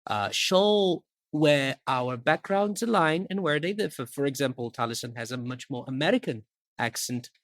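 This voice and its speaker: A man's voice: medium pitch, animated, normal speed, fairly bright tone, no echo, studio quality. A young Brazilian man with a British-leaning accent, co-hosting a podcast and explaining points steadily, with some hesitant fillers. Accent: Brazilian, British-leaning English. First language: Portuguese. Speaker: podcast co-host. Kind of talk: casual podcast chat in English.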